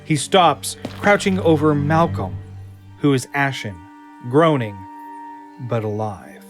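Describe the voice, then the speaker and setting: A man narrating an audio drama from a script in a deliberate, suspenseful manner. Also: on the high side, soft background music.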